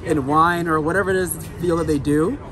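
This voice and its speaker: A man's voice: on the high side, fairly even intonation, brisk, a crowd in the background. A middle-aged man, a brewery brand ambassador, talking casually and upbeat about his beers on an outdoor city patio, recorded close on a phone. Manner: upbeat, salesy, laid-back. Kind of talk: casual on-street interview. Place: outdoor city patio beside a busy street. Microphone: handheld phone camera close by. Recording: street traffic noise behind. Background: passing cars, city street sounds.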